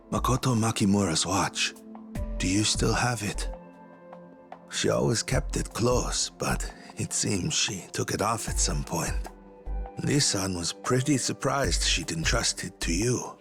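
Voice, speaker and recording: A man's voice: on the low side, gravelly, a bit bright, heavily acted. An actor playing a polite, earnest male doctor delivering a grave message in a game dub. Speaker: doctor messenger.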